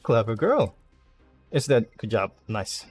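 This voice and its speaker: A man's voice: lowish voice, sing-song, slow pace, darkish tone, husky, soft background music. A man giving casual gameplay commentary, thinking aloud and muttering half-finished plans with some mild swearing. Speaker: video game streamer.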